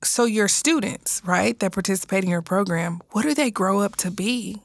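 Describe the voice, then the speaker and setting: Gravelly-voiced woman interviewer. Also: medium pitch, animated, moderate pace, dry recording. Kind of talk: conversational interview. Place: small room.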